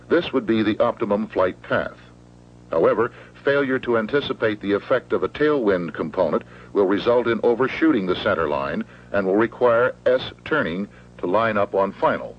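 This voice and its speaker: Male instructional narrator, American, low voice, matter-of-fact and measured, 1970s film sound with clear background noise. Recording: dull, noisy old 16mm film soundtrack.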